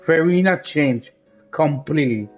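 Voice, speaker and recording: A man's voice: a little high, a little slow. A man streaming a game, chatting casually in reaction to it and often repeating himself. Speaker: gaming streamer. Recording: dull lo-fi mic, faint game music.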